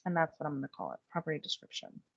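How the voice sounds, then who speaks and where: A woman giving a chatty step-by-step software tutorial while losing her voice. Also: low voice, sing-song, racing, creaky, slightly rough, no room sound. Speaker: real estate software trainer. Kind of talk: screen-share software tutorial.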